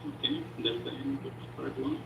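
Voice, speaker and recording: A man's voice: extremely high pitch, wildly swooping pitch, moderate pace, vocal fry, roomy sound, heavy background noise. A man on a video call reading out practice test questions in a brief, hesitant way. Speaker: practice examiner asking questions.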